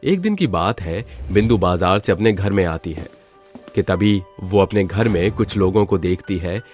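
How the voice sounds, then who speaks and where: A man's voice: sits low, expressive, normal speed, a little mellow, soft background music. A man narrating a scripted moral story as its storyteller.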